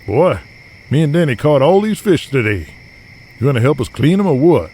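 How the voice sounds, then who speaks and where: A man's voice: on the high side, very animated, normal speed, mellow, breathy edge, pitched above the performer's own voice. A man playing a confident, scheming outlaw wrestler and promoter, plain-spoken and self-assured, in an audio drama. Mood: irritated. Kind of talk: audio drama character acting.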